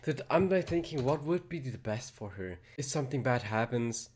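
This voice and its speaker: A man's voice: medium pitch, expressive, moderate pace, darkish tone, slightly rough. A young man giving casual reaction commentary on a game, informal and thinking aloud.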